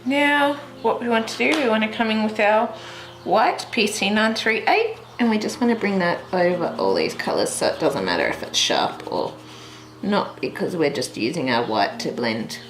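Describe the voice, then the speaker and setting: A woman's voice: medium pitch, big pitch swings, moderate pace. A woman hosting a craft tutorial in a casual, chatty way, thinking aloud as she goes step by step. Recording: roomy echo, light background noise.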